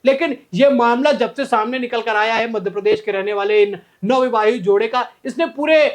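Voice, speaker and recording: News presenter, informal explainer commentary, conversational, addresses viewers as friends, mid-range pitch. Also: normal speed.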